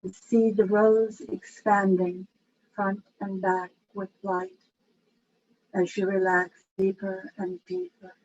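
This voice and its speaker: Female meditation guide, low-variation delivery, very slow and soothing, slightly dull recording with faint noise. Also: little pitch movement, darkish tone.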